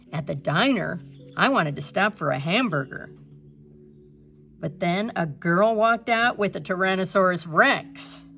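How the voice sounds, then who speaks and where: A woman reading a children's storybook aloud in an expressive narrating style, with some creak in the voice. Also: on the low side. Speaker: storybook reader.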